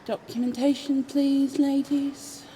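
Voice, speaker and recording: A woman voicing a stern, impatient checkpoint official in an audio roleplay, curt, deliberate and condescending. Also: sits high, level tone, mellow, slight background noise, pitched above the performer's own voice, poor audio.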